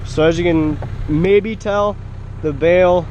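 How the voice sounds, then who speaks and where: A man's voice: high voice, takes it slowly, dull audio. A young man from Montana who is sick, chatting casually to viewers while working on his ranch. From Montana, USA. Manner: chatty and explanatory, addresses viewers directly. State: sick, stuffy voice. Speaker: ranch vlogger. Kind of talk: casual vlog narration. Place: tractor cab and snowy pasture outdoors. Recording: loud engine noise behind.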